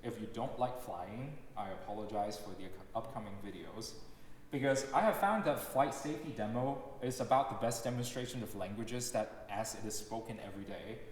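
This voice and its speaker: Young male lecturer, native Cantonese speaker, careful explanatory delivery, very reverberant recital hall with a faint hiss. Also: on the low side. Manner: explanatory, measured, demonstrating examples aloud. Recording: echoey hall with long reverb, faint noise.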